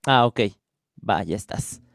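A man's voice: on the low side, expressive, unhurried, gravelly, a bit bright, no room sound, studio quality. A man with a Mexican accent hosting a podcast, asking questions in a loose, chatty way. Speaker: podcast host. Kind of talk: casual interview chat.

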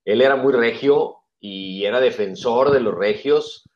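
A man, describing a friend's regional pride in a measured, hesitant way. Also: mid-range voice. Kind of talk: reflective commentary in conversation. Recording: dull lo-fi audio.

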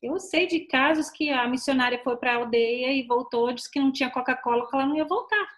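A woman's voice: sits high, a little fast. A middle-aged woman with a Brazilian accent speaking as a missionary guest in a casual interview, explaining things and often checking with short tag questions.

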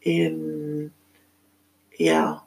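Slow, thoughtful woman with a German accent. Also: low voice, big pitch swings, vocal fry, a little mellow. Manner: thoughtful, halting, with many pauses and fillers. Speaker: podcast host. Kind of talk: reflective solo monologue.

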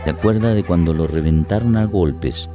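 A man's voice: deep voice, moderate pace, mellow, soft background music. A man delivering dramatic scripted narration for a radio play.

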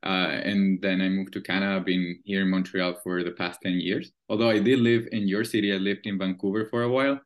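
This man in his thirties speaks English with a Venezuelan Spanish accent, his voice sitting a little low. He explains things at length and thoughtfully, often pausing with "uh" and restarting his sentences, in a relaxed interview manner. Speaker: guest, screenwriter and producer. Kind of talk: casual interview chat.

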